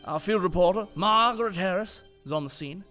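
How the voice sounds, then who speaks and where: A man playing an unflappable, pompous 1940s news anchor in a comedy radio drama, speaking in a measured, dryly sceptical manner. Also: high-pitched, bright, forceful tone, soft background music. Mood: formal, announcing.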